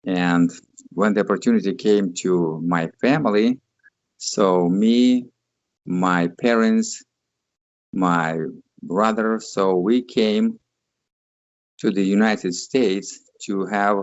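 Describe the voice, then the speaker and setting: Russian guy in his 60s, really low voice, slow halting english, kinda muffled dry sound. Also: no echo. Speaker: guest. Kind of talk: interview answers.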